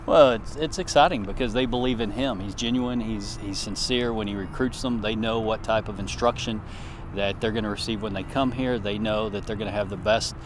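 A man's voice: fairly low pitch, noisy background. A middle-aged man, an athletics director, answering interview questions outdoors at a ballpark in an earnest, praising way. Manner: earnest, praising, measured with fillers. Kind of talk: on-camera interview. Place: outdoors at a baseball field. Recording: music bed underneath. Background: music.

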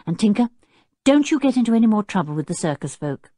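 A woman voicing a gentle young girl who speaks earnestly. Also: medium pitch, sing-song, fast, dry recording, clean studio sound, voice pushed up.

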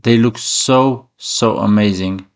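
A man's voice: lowish voice, slow. A man hosting a reaction video, commenting casually in a rambling way and often checking in with the listener. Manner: rambling, repeats "you know" tags.

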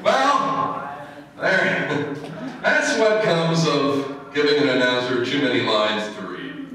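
A man's voice: medium pitch, a bit bright. A man playing an exasperated, wry husband in an old-time radio comedy performed on stage. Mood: wry narrating. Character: exasperated, dryly wry husband narrating his wife's schemes. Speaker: actor in a staged radio play. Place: stage in a hall before an audience. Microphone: far camera. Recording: echoey hall, recorded far off.

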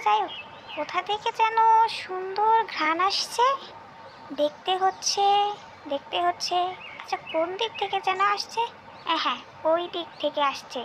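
A hungry female tree spirit from a cartoon folk tale, acted by a woman. The voice is extremely high and creaky, grumbling to itself and repeating phrases with menace. The track has clear noise behind it.

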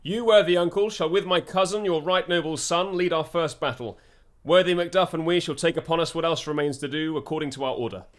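An actor playing a hopeful, resolute noble army leader in formal verse for a classical radio drama. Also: male voice, fairly high pitch, fairly even intonation, fairly quick, close to an everyday voice. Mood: commanding.

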